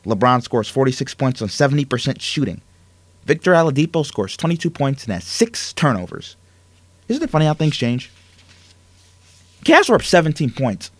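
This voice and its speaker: An opinionated male sports talk host with a fairly high voice that leaps around as he hammers his points home. He fires off rhetorical questions and punchy one-word verdicts. The sound is dry and close to the mic. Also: no room sound. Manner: emphatic, animated rants with rhetorical questions.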